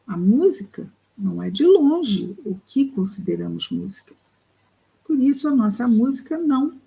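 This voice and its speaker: A woman with a Brazilian Portuguese accent reads a book aloud and explains it, speaking thoughtfully and slowly, with pauses and fillers. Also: medium pitch, vocal fry, warm tone, rough recording. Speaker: study group presenter. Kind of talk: reading and explaining a spiritist book.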